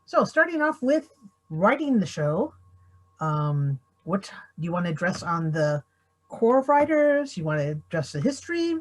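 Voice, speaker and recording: A woman's voice: fairly low pitch, big pitch swings, moderate pace. A woman moderating an online panel discussion, introducing and prompting the panelists.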